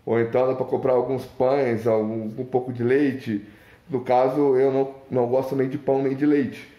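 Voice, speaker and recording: A man's voice: medium pitch, little pitch movement, normal speed, echoey room, dull audio. A young man from Minas Gerais with a mineiro accent, thinking aloud in a casual, rambling vlog monologue full of restarts and fillers. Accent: Mineiro Brazilian Portuguese. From Minas Gerais, Brazil. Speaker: vlogger.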